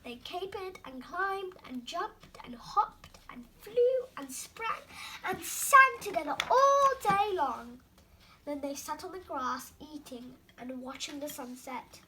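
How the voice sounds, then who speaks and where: A young girl hosting storytime, reading a children's book aloud with careful, animated expression. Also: sits high, slow, a little hiss or hum, low-quality recording.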